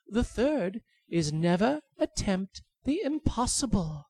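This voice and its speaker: A small, clever golden bird from a folktale, pitched far above the teller's usual voice and sounding shrill and taunting, as a woman puts it on. The lines swoop widely and come slowly, in a close, dry sound. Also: sing-song, warm tone, breathy edge, no room sound, heavily acted. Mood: pleading.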